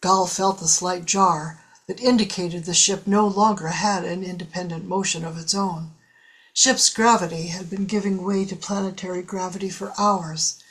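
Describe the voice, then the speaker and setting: A woman's voice: lowish voice, poor audio. A woman narrating a science fiction story in a scripted, audiobook style.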